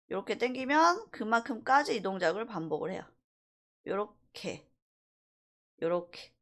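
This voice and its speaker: Woman doing a screen tutorial, chatty teacher, pitch moves around a lot, keeps tossing in little questions. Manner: conversational, informal mix of polite and casual speech, rhetorical check-in questions. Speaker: animation software instructor. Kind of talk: casual screen-recorded software tutorial, thinking aloud while demonstrating.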